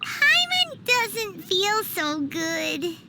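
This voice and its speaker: A woman voicing a tiny, childlike, cheerful and greedy fairy companion in a video game, squeaky and exaggeratedly cutesy. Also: sing-song, extremely slow, very noisy, full character voice. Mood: whiny. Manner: refers to self in third person, exaggerated cutesy delivery.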